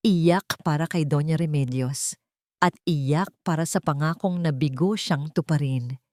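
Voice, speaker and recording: Dramatic woman storyteller with a lowish voice. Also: unhurried, darkish tone, no echo, studio quality. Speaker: storyteller narrator. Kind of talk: scripted dramatic narration of a romance story.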